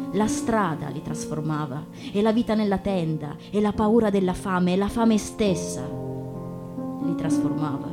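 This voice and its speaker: A woman reading literature aloud on stage in a dramatic style, with deliberate, measured phrasing and pauses. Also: medium pitch. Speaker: stage reader. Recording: acoustic guitar music behind the voice. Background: plucked guitar music.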